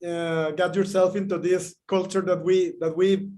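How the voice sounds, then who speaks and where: High-voiced man asking questions. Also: little pitch movement, moderate pace, a little mellow, a little hiss or hum. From Mexico. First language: Spanish. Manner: searching for words, hesitant. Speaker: interviewer. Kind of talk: interview questions.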